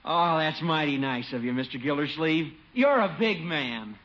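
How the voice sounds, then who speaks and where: A man's voice: on the high side, expressive, normal speed, a bit bright. An actor playing an earnest, polite and eager young man in 1950s radio comedy. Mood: apologetic. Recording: muffled old radio broadcast.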